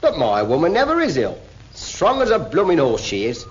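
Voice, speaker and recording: A skeptical working-class British husband from a 1940s cartoon. He grumbles colloquially with wildly swinging pitch. The vintage soundtrack is muffled, with loud music over it. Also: wildly swooping pitch, normal speed. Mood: dismissive.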